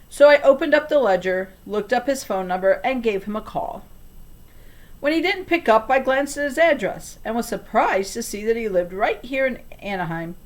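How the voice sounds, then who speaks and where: Woman reading a storybook aloud expressively. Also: medium pitch. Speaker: reader reading a children's novel aloud.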